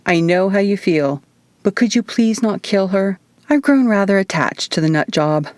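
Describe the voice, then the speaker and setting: A woman's voice: medium pitch, lively intonation, moderate pace, a little mellow, dry recording, lightly acted. A weary, wry young heroine grumbling through her injuries, played by a woman narrator. The voice is subdued, in a very dry audiobook recording. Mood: pleading.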